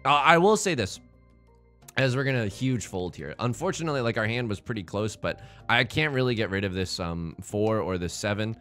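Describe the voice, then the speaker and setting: A man's voice: on the low side, lively intonation, normal speed, fairly bright tone, soft background music. A man from China hosting a let's play, commenting on his own game in a jokey, rambling way with playful internet slang. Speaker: gaming channel host.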